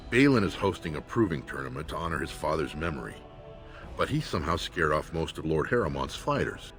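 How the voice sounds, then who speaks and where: A man's voice: rumbling low, big pitch swings, a little fast, fairly bright tone, faint music behind, exaggerated voice. An actor playing an earnest dwarven lord's aide in a video game, speaking formally as he gives a briefing. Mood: earnest, businesslike. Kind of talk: video game character acting.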